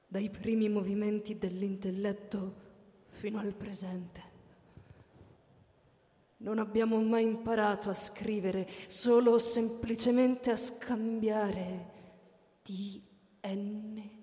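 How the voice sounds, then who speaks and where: Woman as a slow, echoing, solemn alien. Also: medium pitch, dark tone, lightly acted. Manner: deliberate, measured, solemn. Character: serene immortal alien speaking for a deathless race. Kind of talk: theatrical radio drama recitation. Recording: echoey hall reverb with music behind.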